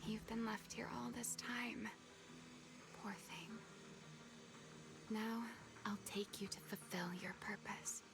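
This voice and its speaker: An actress playing a poised, wistful young woman adventurer in a video game, speaking in measured, elegant, formal phrases. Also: mid-range voice, steady tone, vocal fry. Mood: tender, wistful. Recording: game music over the voice.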